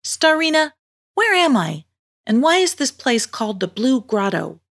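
A woman's voice: high-pitched, big pitch swings, unhurried, vocal fry, a bit bright, pitched above the performer's own voice, heavily acted. A woman voicing a sweet, anxious young girl in a storybook, with an earnest, pleading manner. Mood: questioning.

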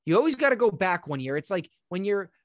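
Higher-pitched young man bantering casually. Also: big pitch swings, fast talker, no room sound, studio quality. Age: late 20s. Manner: crude, argumentative, insistent. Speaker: talk show co-host.

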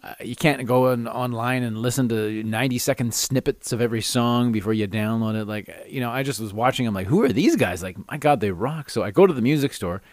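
A man's voice: medium pitch, a little fast, hardly any pauses, dry recording. Chatty middle-aged man, close dry sound. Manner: animated, self-amused, chatty, laughs through stories. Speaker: podcast host. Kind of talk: casual solo storytelling and ranting.

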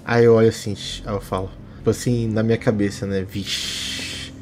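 A man's voice: on the low side, little pitch movement, normal speed, a little mellow, a little hiss or hum. A man with a Brazilian accent playing a tabletop RPG, improvising casually in colloquial, repetitive phrases. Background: faint music.

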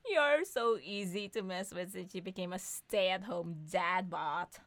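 A woman's voice: fairly low pitch, a little slow, a bit bright, no echo. A woman playing a sarcastic young mother who needles her partner with dry mockery in an audio drama. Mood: mischievous. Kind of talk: two-hander audio drama reading.

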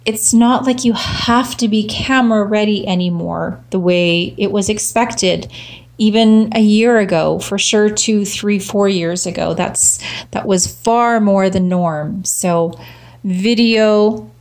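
A woman hosting a social media marketing show, talking casually and emphatically, her voice slightly rough from cheering. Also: medium pitch, takes it slowly, darkish tone. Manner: upbeat, emphatic repetition. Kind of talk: casual solo talk to camera.

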